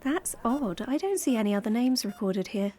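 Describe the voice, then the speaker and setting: A woman playing a brisk, polite, chatty hospital nurse in an audio drama. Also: a little high, very animated, mellow. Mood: brisk, friendly.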